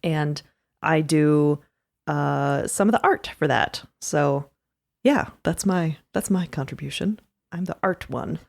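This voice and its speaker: A woman's voice: low-pitched, slow, vocal fry, darkish tone. A woman chatting casually as a podcast guest, in a playful, teasing way. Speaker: podcast artist and performer, guest. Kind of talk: casual chat.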